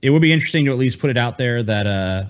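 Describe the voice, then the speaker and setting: A man's voice: medium pitch, animated, racing, lo-fi sound. A man co-hosting a podcast in casual chat, blunt and informal, with some swearing. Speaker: podcast co-host.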